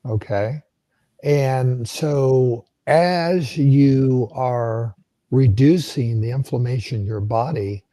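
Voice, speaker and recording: A hoarse man with a very dark, husky voice, explaining physiology in a live question-and-answer session. He pauses often with ums and uhs, and the sound is dry and close. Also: medium pitch, no echo. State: hoarse, losing his voice. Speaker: co-host answering health questions.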